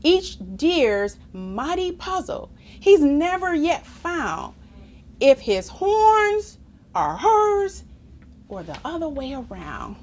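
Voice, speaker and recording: A woman reading a children's picture book aloud in an expressive, deliberate sing-song way. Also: high voice. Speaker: storybook reader.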